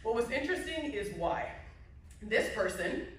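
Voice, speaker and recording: A woman's voice: on the high side, big pitch swings, moderate pace, slight background noise. A woman giving an emphatic award acceptance speech from a podium, building her points through repetition. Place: large indoor hall at a podium, to a seated audience. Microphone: podium mic, heard from a phone in the audience. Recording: echoey hall from far off.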